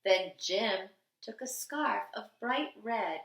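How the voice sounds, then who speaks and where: Lady reading a kids book out loud, bright voice, slow and sing-songy, kinda roomy sound. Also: medium pitch. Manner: expressive, deliberate, sing-song rhyming delivery. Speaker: teacher reading a picture book aloud. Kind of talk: animated children's storybook reading.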